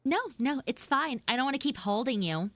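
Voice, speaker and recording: A woman's voice: high voice, expressive, a little fast, fairly bright tone, dry recording. A woman voicing a flustered, shyly smitten tsundere schoolgirl in a live audio roleplay, hesitant and coyly defensive. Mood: reluctant, coy.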